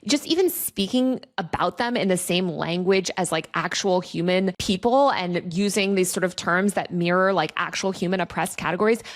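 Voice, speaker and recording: A woman's voice: on the low side, moderate pace, few pauses. A woman hosting an online video essay, explaining in an articulate way with a mix of scripted reading and casual phrasing. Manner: articulate, explanatory, reads out quotations. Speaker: host of a tech and online culture commentary show.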